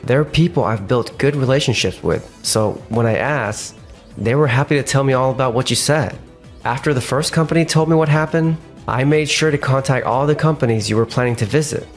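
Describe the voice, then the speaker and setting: A man's voice: medium pitch, natural voice. Man acting as an earnest office professional, music behind. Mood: disappointed. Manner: polite, measured. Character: earnest, dependable, courteous man of the office world. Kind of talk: anime-style dramatized dub acting and narration.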